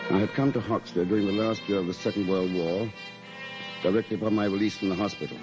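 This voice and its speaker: An actor as a low-voiced, formal British housemaster. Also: male voice, highs cut off, close to an everyday voice. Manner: formal, measured, precise wording. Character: anxious, stern housemaster at an English boys' boarding school. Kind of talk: old-time radio drama acting and first-person narration. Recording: old radio drama with music behind.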